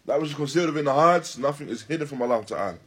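A man teaching in a religious lecture, deliberate and emphatic, repeating and rephrasing his phrases as he explains a text. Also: on the high side, expressive, slightly rough. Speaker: religious teacher giving a lesson. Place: Islamic centre, live stream.

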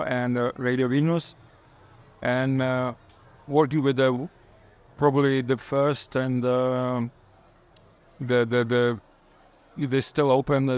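A man's voice: medium pitch, clear, resonant tone. A man from Lithuania, a panel guest, rambling and searching for words as he talks about himself. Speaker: panel guest, event organizer and DJ. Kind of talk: casual self-introduction.

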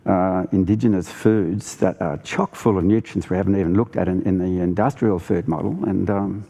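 A man's voice: deep voice, steady tone, normal speed, gravelly, warm tone. An Australian man speaking earnestly and emphatically as a panellist in a live discussion. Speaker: farmer and ecologist, festival panellist.

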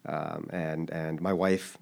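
A man's voice: a bass voice, level tone, takes it slowly, vocal fry, darkish tone. A man in his thirties who grew up in Japan, answering interview questions in a thoughtful, hesitant way with many restarts. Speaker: interview guest. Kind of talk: casual interview.

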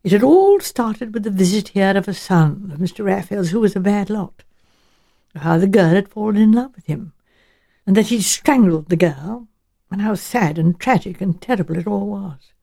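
A woman's voice: medium pitch, animated, moderate pace, gravelly, mellow. A woman voicing a shrewd, genteel elderly English village spinster, musing and politely chatty, in an audiobook. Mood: grave, thoughtful.

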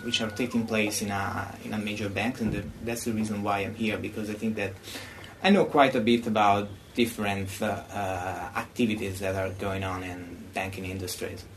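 A man's voice: lowish voice, level tone, normal speed, background noise. A young man with an Italian accent explaining his professional background as a podcast guest. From Italy. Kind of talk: explanatory self-introduction.

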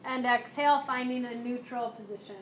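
A woman instructor guiding a live chair yoga and mindfulness class, cueing movements and breaths in a calm, unhurried way. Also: a little high, a little hiss or hum, low-quality recording. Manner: slow, soothing cueing with breath prompts.

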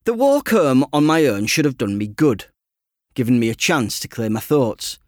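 A man reading an audiobook in the first person as a teenage boy, conversational, self-deprecating and expressive. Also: medium pitch, moderate pace, dry recording, clean studio sound. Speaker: author reading his own audiobook.

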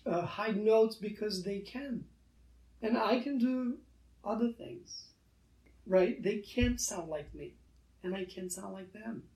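Slow, halting man in a roomy space. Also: very high-pitched, dark tone, distant mic. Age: 30s. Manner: halting, many restarts, earnest. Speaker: guest artist. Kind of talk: reflective interview talk.

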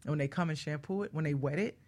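A woman's voice: low voice, fast talker, warm tone. A woman speaking as a guest hair care expert in a radio conversation, explaining things emphatically and plainly and repeating her key points.

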